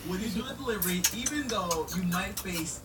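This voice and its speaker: A man's voice: high voice, lively intonation, fairly quick, very noisy. A man presenting a YouTube video, repeating earnest warnings and asides to viewers. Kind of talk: scripted video intro. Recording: clip played from far off under loud music.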